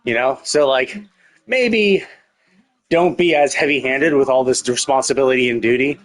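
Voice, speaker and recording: A man's voice: mid-range voice, moderate pace. A man streaming a story game, thinking aloud in a casual, rambling, sarcastic way and swearing freely. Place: indoors, livestream setup. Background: music.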